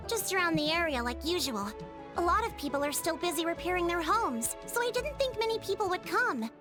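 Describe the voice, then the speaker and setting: Casting: earnest young female chief, very high voice, flustered, anime game, music underneath. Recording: music playing behind the voice.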